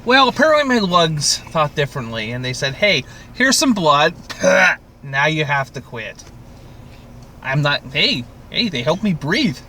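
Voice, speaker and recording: Bright-voiced man chatting over background noise. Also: on the high side, very animated, measured pace. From Canada. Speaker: podcast co-host. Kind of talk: casual profane banter and TV recap chat.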